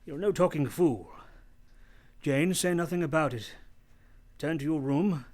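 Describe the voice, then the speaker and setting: An actor playing a brusque, teasing, moody gentleman master of the house in a radio play, imperious and halting. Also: on the high side, lightly acted. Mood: urgent, secretive. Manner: imperious, abrupt, probing, halting delivery.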